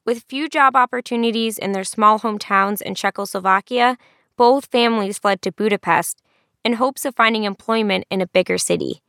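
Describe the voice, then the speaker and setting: Woman reporter with a steady narration. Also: medium pitch, moderate pace, dry recording, clean studio sound. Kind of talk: scripted documentary narration.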